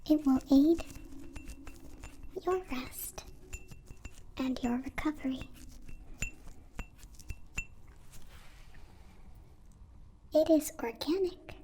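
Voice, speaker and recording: A gentle alien played by a woman speaks in a soft, mellow whisper for an ASMR roleplay. The pace is very unhurried, each phrase careful and oddly formal, like a naive and devoted new friend. The voice sits high. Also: a little hiss or hum. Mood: soothing. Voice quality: breathy, whispery.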